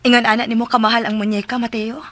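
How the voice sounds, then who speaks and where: A woman's voice: a little high, a bit bright, no echo. A woman playing a troubled young mother in a radio drama, with a Filipino accent. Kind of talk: Cebuano radio drama acting.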